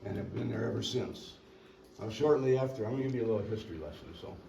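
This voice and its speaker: An older man recounts local history in a rambling, folksy way, pausing to clear his throat. His tone is mellow and dark. He is picked up from a distance in an echoey meeting room with a faint hiss behind. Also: lowish voice, expressive. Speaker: local resident speaking at a public meeting. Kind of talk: storytelling public comment.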